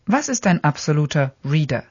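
Measured essay narrator, dry lo-fi sound. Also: medium pitch, very animated, breathy edge, dry recording. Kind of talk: experimental radio essay narration.

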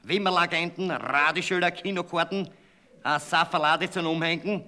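A man rattles off a list in a bright, forceful, fairly high voice. His delivery is crisp and rhythmic, like a recital. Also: normal speed.

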